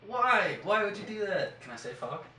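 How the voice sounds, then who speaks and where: A young man chatting casually about films, telling it like an animated storyteller who swears freely and acts out moments. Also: high-pitched, moderate pace. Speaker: podcast co-host. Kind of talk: casual film chat. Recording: roomy, distant mic, faint background noise.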